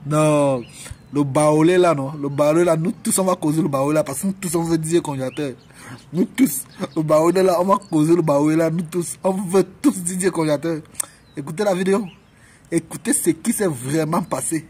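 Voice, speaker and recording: A man's voice: fairly high pitch, moderate pace, darkish tone, husky. A man, boasting in a hyped, repetitive way about his artist being in demand. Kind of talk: hyped video statement.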